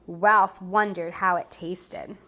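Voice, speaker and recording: A woman teacher reading a storybook aloud to young children expressively, pausing to explain and comment. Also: on the low side, steady tone, pushed and bright. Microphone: recorded on camera for a video.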